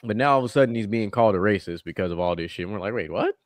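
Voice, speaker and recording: A man with a somewhat low voice talks quickly, hosting a podcast as casual chat. His talk is loose and profane. The sound is dry and close. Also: animated, no room sound. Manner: fast, loose talk with swearing and laughs. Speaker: podcast host.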